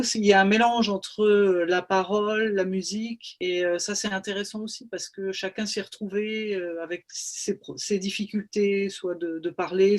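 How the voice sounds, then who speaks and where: A woman musician answering questions on a panel, speaking hesitantly with frequent restarts. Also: medium pitch. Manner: hesitant, many restarts and fillers. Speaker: musician and project leader. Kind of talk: panel discussion.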